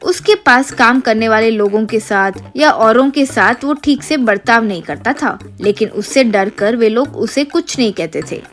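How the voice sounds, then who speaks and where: A woman narrates a children's moral tale. Her voice is fairly high and follows a scripted storytelling delivery, with light music behind it. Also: lively intonation, moderate pace, few pauses.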